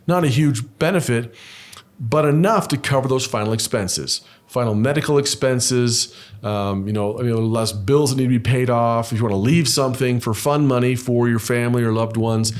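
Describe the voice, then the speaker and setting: A middle-aged man talks through insurance options in a patient, methodical way, leaning on simple examples. His voice sits in an ordinary middle pitch. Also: a little fast. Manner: patient, explains step by step with examples. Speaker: insurance broker co-host. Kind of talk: explanatory conversational chat.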